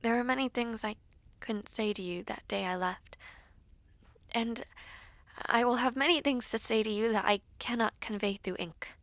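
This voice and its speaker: A woman's voice: a little high, normal speed, no echo. A woman acting as tender young knight, close and dry. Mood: tender, hushed. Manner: tender, wistful, softly playful, sometimes breathy. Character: young woman knight, brave, devoted, tender, reading her letters aloud. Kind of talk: audio roleplay, letter narration.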